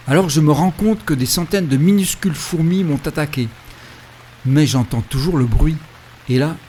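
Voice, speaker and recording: A man calmly telling the story of his cycling trip in the first person, with a reflective, storytelling way of speaking. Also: medium pitch. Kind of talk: first-person travel narration. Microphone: voice-over recorded separately.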